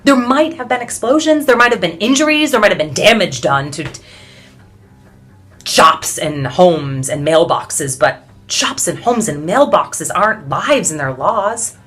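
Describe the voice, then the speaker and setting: Woman acting a weary defiant suffragette, deep voice, real slow and intense, stage monologue w heavy pauses. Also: faint music behind, exaggerated voice. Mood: defiant, impassioned. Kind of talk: dramatic theatrical monologue.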